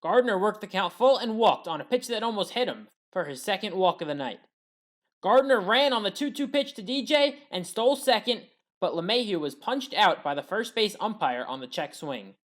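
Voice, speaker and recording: A woman delivering a scripted play-by-play recap as a sports highlights commentator. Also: animated, normal speed.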